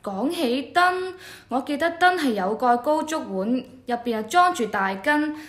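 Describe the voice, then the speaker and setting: A woman's voice: sits high, lively intonation, unhurried, fairly bright tone, roomy sound. A woman with a Hong Kong Cantonese accent playing an earnest, deferential new junior rites official in an educational radio drama. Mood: studious. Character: eager, respectful newly arrived junior rites official. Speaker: voice actor.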